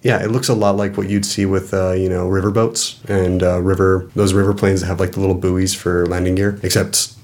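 Male game master, deep voice, casual improvised narration for a tabletop RPG. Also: little pitch movement, fairly quick, hardly any pauses, darkish tone.

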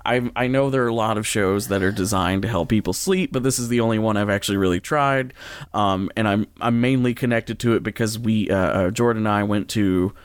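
A man hosting a casual podcast, talking off the cuff in a rambling, halting way and often backtracking mid-sentence. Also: on the low side, smooth, dry recording. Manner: chuckles through his own sentences. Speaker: podcast host. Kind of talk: casual unscripted podcast recommendation.

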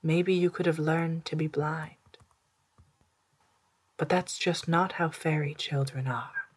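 A narrator with an androgynous, mid-pitched voice reads a literary story at a slow pace. The delivery is hushed and deliberate, with a soft, breathy edge and careful pauses between phrases. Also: fairly even intonation. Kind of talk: scripted literary narration in second person, storytelling.

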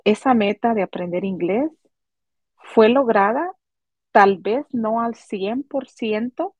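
A woman's voice: a little high, measured pace, a little mellow, dry recording, highs cut off. A woman guest whose first language is Spanish, speaking earnestly and searching for words. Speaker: program member guest. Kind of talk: casual conversational interview.

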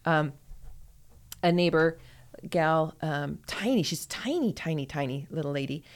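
Lower-pitched woman in casual podcast talk. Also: unhurried. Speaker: podcast co-host.

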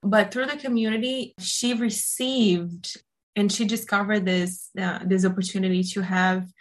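Woman, from Brazil, interview guest, earnest, mid pitch. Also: fairly even intonation, a little slow. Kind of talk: conversational interview.

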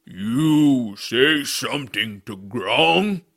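Slow, gravelly, brutish giant. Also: male voice, mid-range voice, lively intonation, fairly bright tone, full character voice. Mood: gruff, threatening. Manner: halting broken speech in third person. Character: slow-witted, menacing male giant, brutish and hungry. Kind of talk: audiobook drama acting.

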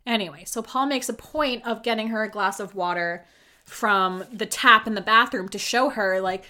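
A woman's voice: medium pitch, normal speed, pushed and bright. Sarcastic chatty young woman. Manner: chatty, sarcastic, lots of filler likes and swearing. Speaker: podcast host recapping a reality show. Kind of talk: casual solo commentary.

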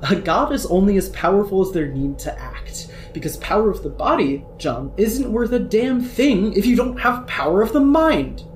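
A woman's voice: mid-range voice, sing-song, moderate pace, few pauses, darkish tone, lightly acted. A woman voicing a cocky, brash female troll who taunts, swears freely and talks down to others in an amateur audio drama. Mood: lecturing. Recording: echoey room with faint noise.

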